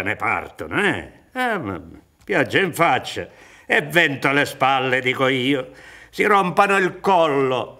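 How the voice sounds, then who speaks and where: A man's voice: mid-range voice, pushed and bright, full character voice. An older man acting in a radio play as a grumbling, sardonic old Sicilian peasant, exclamatory and full of muttered asides.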